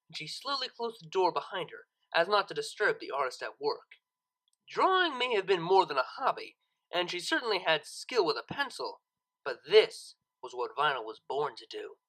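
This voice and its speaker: An expressive storyteller reads scripted fiction. The voice is bright and sharp, moving through a lively range of pitch. Phrasing is dramatic and deliberate. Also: low-quality recording. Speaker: narrator, audiobook reader.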